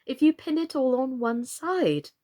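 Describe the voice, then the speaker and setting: A woman's voice: high-pitched, lively intonation, unhurried, darkish tone, pitched above the performer's own voice. A woman voicing a polite, sensible, slightly timid young storybook girl in a civil, earnest way. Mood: helpful, polite.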